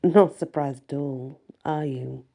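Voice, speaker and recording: A woman voicing a young male werewolf pack alpha, a tender, teasing boyfriend who speaks low and soft. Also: slow pace, gravelly, full character voice.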